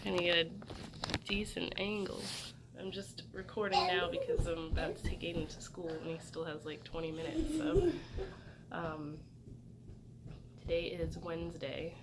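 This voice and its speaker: A young woman chatting casually in a vlog, hoarse from a cold and rambling with many fillers. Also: lowish voice, lively intonation, normal speed. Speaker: vlogger. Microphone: phone camera. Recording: distant mic with loud noise.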